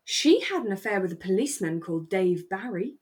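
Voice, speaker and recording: A woman's voice: mid-range voice, animated, brisk, a little mellow. A woman with a British accent chatting casually and reflectively as a podcast co-host. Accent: British English. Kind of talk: casual chat, discussing a radio drama.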